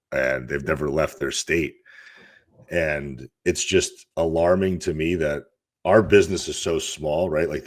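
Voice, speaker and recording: A man in his thirties from Russia, a fitness coach telling candid, rambling stories as a podcast guest. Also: very deep voice. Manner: candid, rambling storyteller with restarts. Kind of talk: casual interview talk.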